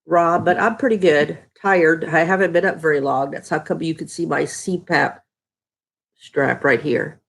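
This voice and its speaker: A middle-aged woman talks in a somewhat low, nasal voice, thick from a stuffy nose. She chats casually with her viewers, greeting each one by name on a live stream from home. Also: measured pace, creaky, slightly rough. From Texas. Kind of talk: casual live chat reading viewer comments.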